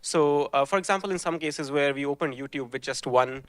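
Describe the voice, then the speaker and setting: A man's voice: fairly high pitch, a little fast, clear, resonant tone, no echo. A man presenting his team's hackathon project, explaining the technical pipeline step by step and often restarting his sentences.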